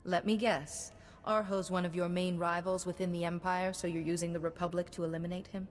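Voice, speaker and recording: An actress playing a composed, disciplined Jedi commander who speaks steadily. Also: female voice, lowish voice, little pitch movement, brisk, in a large hall, close to an everyday voice. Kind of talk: video game cutscene acting.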